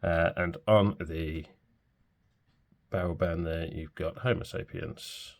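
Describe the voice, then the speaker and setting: Male pen reviewer in his forties, very low and gravelly, congested from a cold, unhurried and full of ums. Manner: relaxed, hesitant, many fillers. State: stuffy from a cold. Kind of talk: casual product review.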